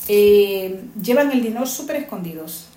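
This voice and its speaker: A woman's voice: medium pitch, expressive, moderate pace, warm tone. A woman from Honduras, earnestly telling her own story as an interviewee and repeating points for emphasis. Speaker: interviewee, migrant mother. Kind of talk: earnest personal testimony. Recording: echoey room with faint ambience.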